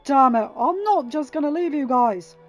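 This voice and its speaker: A woman's voice: sits high, expressive, normal speed, faint music behind, voice pushed up. A woman voicing a loyal male soldier pilot under fire, calling out urgently in a space story. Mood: urgent, strained.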